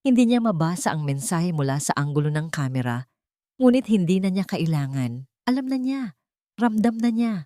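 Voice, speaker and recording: A woman's voice: on the low side, sing-song, moderate pace, warm tone, dry recording, clean studio sound. A woman narrating a scripted romantic drama in a solemn, dramatic storytelling manner.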